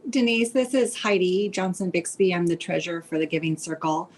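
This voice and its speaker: Low-voiced woman, charity treasurer. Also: a little fast. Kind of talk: explanatory talk on a group call. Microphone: online conference call.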